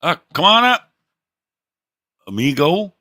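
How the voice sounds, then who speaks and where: A man's voice: a little high, sing-song, slow, sharp tone. A man hosting a livestream, teasing and profane in crude casual banter, with a drawl. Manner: brash, profane, teasing, laughs a lot. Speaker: livestream host.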